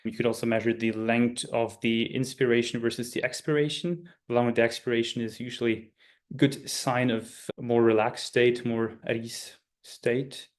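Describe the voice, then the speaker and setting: A man's voice: medium pitch, fairly even intonation, normal speed. A man, a guest speaker, answering questions in a webinar and often searching for his words. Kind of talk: webinar Q&A explanation. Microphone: video call.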